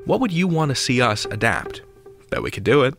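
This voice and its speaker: American male podcast host, very animated pitch, playful, slangy, theatrical, countdown show delivery, music behind. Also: a little fast, gravelly. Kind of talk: lively audio drama countdown show hosting.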